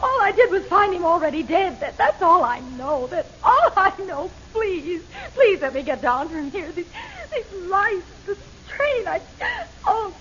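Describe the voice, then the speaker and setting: Terrified young wife. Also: female voice, extremely high pitch, very animated, a little slow, a bit bright, slight background noise, full character voice. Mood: pleading. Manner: trembling, tearful, broken phrases. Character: frightened songwriter's wife, young woman. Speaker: murder suspect. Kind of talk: 1940s radio acting. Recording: muffled old radio broadcast.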